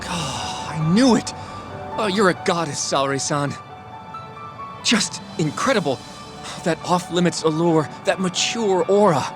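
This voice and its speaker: A man's voice: on the high side, unhurried, close to an everyday voice. An actor voicing an earnest, flustered, protective young man in love with his coworker, in a video game dub. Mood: gushing. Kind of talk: English game dub acting. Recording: music playing behind the voice.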